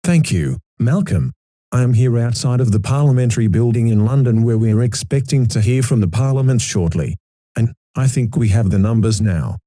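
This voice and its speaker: A man's voice: mid-range voice, animated, normal speed, mellow, no echo, studio quality, close to an everyday voice. A man playing a serious political analyst, giving a scripted live news report in a formal, matter-of-fact way.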